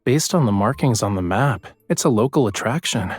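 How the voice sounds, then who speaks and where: Husky, teasing young romantic lead. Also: male voice, mid-range voice, expressive, normal speed, faint music behind. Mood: playful, musing.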